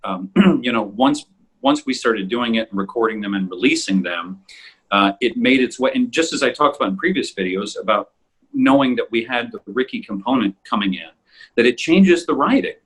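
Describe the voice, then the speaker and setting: A man in a casual, halting discussion, speaking appreciatively as co-creator of an audio drama podcast. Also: mid-range voice, expressive, fairly bright tone, husky, poor audio. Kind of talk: casual discussion of their own work.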